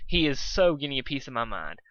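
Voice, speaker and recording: An exasperated young stallion from a cartoon fan story, as a man acts him out. He is sarcastic and dramatic, with a bright, close sound and wide swings of pitch. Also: brisk, no echo, highs cut off. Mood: indignant. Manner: dramatic, sarcastic, flat grumbling. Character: exasperated, grumbling young male cartoon pony.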